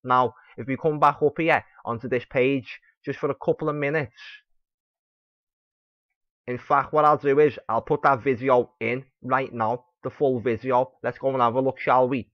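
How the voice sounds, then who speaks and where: A man's voice: mid-range voice, steady tone, normal speed, no echo. A man hosting and presenting material to his audience. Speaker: host. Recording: dry, dull lo-fi voice-over.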